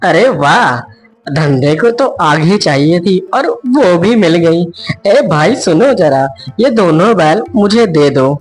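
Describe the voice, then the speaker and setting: A woman's voice: on the low side, lively intonation, slow pace, faint music behind. A woman voicing a poor, honest, worried village husband in a children's cartoon story, earnest and plaintive. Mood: surprised, eager.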